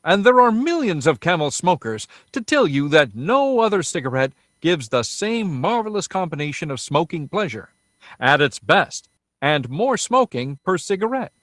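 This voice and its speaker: A 1930s radio announcer selling to listeners. His voice is slightly high with animated intonation. The delivery is persuasive and scripted, in a very dry acoustic. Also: normal speed, dry recording. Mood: salesmanlike. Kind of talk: 1930s radio advertising and scene-setting narration.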